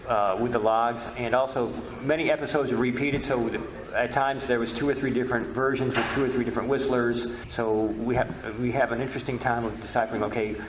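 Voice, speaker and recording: A man's voice: medium pitch, fairly even intonation, brisk, few pauses. A man giving an explanatory conference talk as a panel speaker on radio history. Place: roomy indoor conference presentation space. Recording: echoey, noisy room recording.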